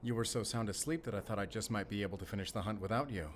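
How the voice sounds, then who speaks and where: A man acts out a German wolf hunter in a cinematic audio drama. His voice is low and German-accented, his manner formal and composed with dry wit. Some background noise and ambience sit behind him. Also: racing, natural voice. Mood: wry, gentle. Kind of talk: cinematic audio roleplay acting, speaking to the listener.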